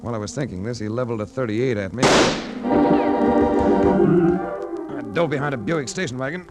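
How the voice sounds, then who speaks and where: An actor playing a wry, tough private investigator in a 1940s radio drama, with an American accent and clipped delivery. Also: male voice, medium pitch, animated, very fast, lightly acted. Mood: urgent. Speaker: lead actor and narrator. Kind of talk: 1940s radio detective acting. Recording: vintage 1940s radio recording with music.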